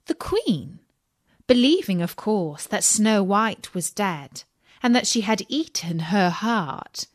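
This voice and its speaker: A woman narrating a scripted children's audiobook as an expressive, measured storyteller. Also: medium pitch, no echo, clean studio sound.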